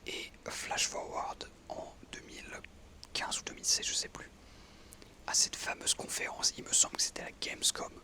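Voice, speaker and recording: A man in his twenties whispering a relaxed personal story while out on an evening walk, hesitating often with fillers. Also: normal speed. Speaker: ASMR creator.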